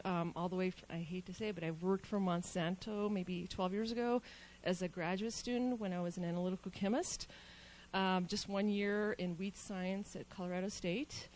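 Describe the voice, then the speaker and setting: A woman who farms organically and studies for a doctorate, giving a conference talk over slides, quick and informal with many ums and restarts. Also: medium pitch, a little mellow, slight background noise, rough recording. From New Mexico. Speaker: panel speaker, organic farmer and doctoral student. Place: conference hall. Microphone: handheld mic.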